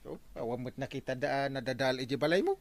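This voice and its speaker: A man with an Ilocano Filipino accent playing a village captain in a radio comedy drama, speaking haltingly as he handles complaints. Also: medium pitch, lively intonation, lightly acted. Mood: questioning.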